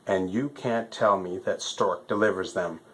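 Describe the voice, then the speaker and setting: A man's voice: deep voice, unhurried, fairly bright tone, poor audio. A man voicing a stubborn, argumentative alligator in a children's storybook, insisting on his point. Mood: defiant.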